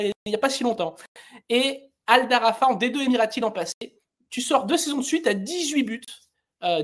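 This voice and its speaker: A woman interviewing a guest on a sports talk show in a conversational way, running through facts and putting questions. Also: fairly high pitch.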